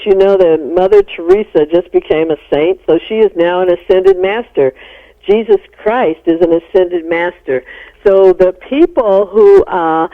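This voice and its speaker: A middle-aged American woman explaining things as a psychologist guest in a radio interview. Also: fairly low pitch. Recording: narrow-band phone-like radio sound.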